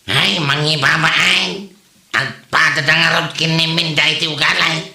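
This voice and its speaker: A man acts an embarrassed, long-suffering village husband in a comedy radio play. The voice is very sharp and creaky, Ilocano-accented, with a roomy echo around it. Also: a little high, fairly even intonation, normal speed. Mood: apologetic, ashamed. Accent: Filipino, Ilocano. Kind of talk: amateur comedy drama acting in Ilocano.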